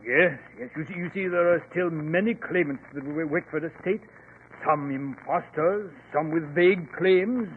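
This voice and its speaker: A man as high-voiced, nervous solicitor. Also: normal speed, natural voice. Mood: nervous, defensive. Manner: hesitant, formal. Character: nervous, evasive English solicitor, fastidious gentleman. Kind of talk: 1940s radio acting. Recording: muffled, noisy 1940s radio recording.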